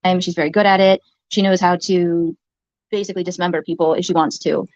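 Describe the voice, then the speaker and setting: Soft-voiced woman, fast rambling. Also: on the low side, steady tone, dry recording, dull audio. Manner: hesitant, many fillers and restarts. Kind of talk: rambling livestream talk.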